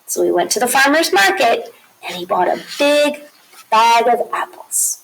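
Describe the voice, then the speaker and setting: A woman teacher reads a children's picture book in a high voice that swings up and down expressively. She takes her time, stretching key words and pausing for asides to young listeners. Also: gravelly, a little hiss or hum, poor audio. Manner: expressive, drawn-out emphasis, explains pictures.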